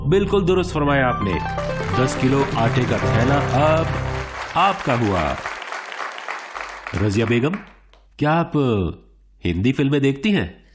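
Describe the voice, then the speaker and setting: Over a clear music bed, a suave radio quiz host. He is theatrical and encouraging, swinging his pitch widely and pausing deliberately between phrases. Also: sing-song, over a noisy crowd. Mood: congratulatory. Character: suave male game show host, dramatic, encouraging. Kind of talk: radio play quiz show presenting.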